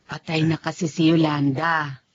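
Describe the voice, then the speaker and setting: An actress playing a gentle, concerned elderly Filipino mother and grandmother in a radio drama. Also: low-pitched, flat delivery, normal speed, husky. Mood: concerned, sad. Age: older. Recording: dull lo-fi radio drama, music behind.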